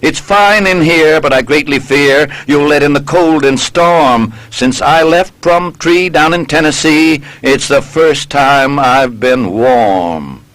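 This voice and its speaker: Guy doing a sickly southern prospector who's always cold, slow plaintive drawn out talk, story poem style, dry sound. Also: fairly high pitch, a bit bright, dry recording, rough recording. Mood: contented. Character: ailing, freezing Southern man, homely, plaintive gold seeker. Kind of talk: character lines in a recited narrative poem.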